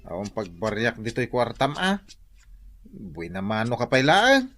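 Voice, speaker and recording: An actor with an Ilocano Filipino accent playing a gruff, tipsy village man in a radio drama. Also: medium pitch, sing-song, a little slow, a bit bright. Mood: haggling.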